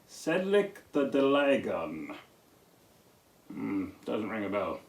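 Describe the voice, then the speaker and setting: A man playing an excitable, evasive puppet dragon in a children's storytime skit, theatrical and full of feigned innocence. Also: fairly low pitch, very slow, recorded from a distance, heavily acted. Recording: echoey room, off the mic.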